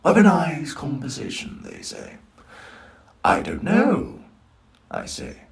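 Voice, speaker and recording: A man's voice: on the high side, wildly swooping pitch, slow pace, gravelly, heavily acted. A man voicing an eloquent, sadistic robot leader of a hit squad in a comic dub, with theatrical, menacingly polite monologues. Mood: smug, hushed menace. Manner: theatrical, menacingly polite, soliloquizing, dropping to whispers.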